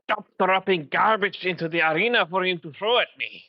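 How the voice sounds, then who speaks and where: A man voicing a furious, tyrannical female sun princess who shouts insults at her underlings, over a dull voice call. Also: high voice, brisk, dry recording. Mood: enraged. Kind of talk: fan reading over voice call.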